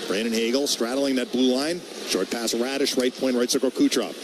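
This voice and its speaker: A male radio announcer calls live hockey play-by-play in clipped, quick phrases. His voice sits at a medium pitch. There is clear background noise from the live broadcast. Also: fairly even intonation. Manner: clipped rapid-fire phrases, calling each move as it happens.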